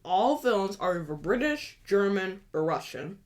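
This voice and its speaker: A man's voice: high voice, sing-song, normal speed. A man co-hosting a casual, bantering chat about films, insistent and contrarian in a joking way. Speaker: show co-host.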